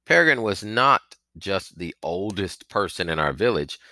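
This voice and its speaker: A man's voice: low-pitched, sing-song, moderate pace, sharp tone, no room sound. A man reading a novel aloud as scripted audiobook narration, acting out its characters. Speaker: reader of a children's novel.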